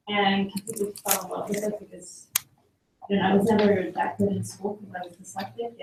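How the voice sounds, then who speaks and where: Soft, gravelly woman in a roomy hall. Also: medium pitch, a little hiss or hum. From Sweden. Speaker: photographer. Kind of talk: personal talk about her career. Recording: echoey hall, heard from a distance.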